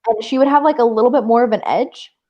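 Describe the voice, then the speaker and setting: A woman with a fairly high voice talks casually as a co-host of a live book show. She is animated and emphatic, phrasing things in a loose, chatty way with plenty of "like". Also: fast talker, muffled recording.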